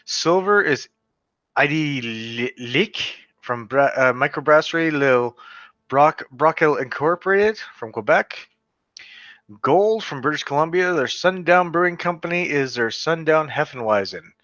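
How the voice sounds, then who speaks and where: A man from western Canada talks through a list of beer awards in a loose, conversational way, with a bright, forward voice. He stumbles over unfamiliar brand names as he goes. The recording is dry and slightly dull. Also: fairly high pitch, animated, unhurried, dry recording. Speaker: beer reviewer. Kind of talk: casual solo video commentary reading award results.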